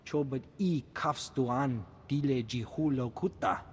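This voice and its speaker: A man plays a male alien from a sci-fi video game, declaiming in short phrases of made-up speech. The voice is mid-pitched, with a long reverb and faint noise behind it. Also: a little slow, close to an everyday voice. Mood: declamatory. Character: male alien speaking an invented guttural tongue.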